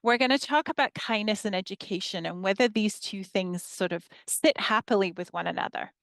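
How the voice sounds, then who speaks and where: A woman in her fifties from northern England, a history professor giving a measured workshop presentation to educators and reading her slides aloud. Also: medium pitch, creaky, fairly bright tone, dry recording.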